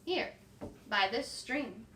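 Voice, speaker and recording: A woman's voice: fairly high pitch, expressive, slow pace, pushed and bright, reverberant, far from the mic, heavily acted. Woman doing a polite clever little mouse. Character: small clever brown mouse.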